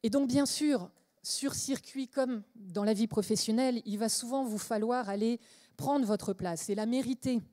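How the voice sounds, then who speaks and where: A woman with a higher, mellow voice speaks to a conference crowd from the stage. Her talk is measured and inspirational, and it rises to emphatic questions.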